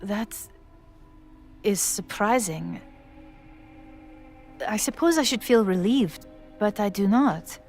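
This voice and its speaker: A dignified woman in a position of authority, with a medium-pitched voice over music. She speaks formally and with care, articulate and weighed down by guilt, as in a story-driven video game. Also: lively intonation, slow pace, pauses often, a little mellow. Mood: resigned, grave. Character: guilt-ridden, dignified woman in authority confessing her misdeeds.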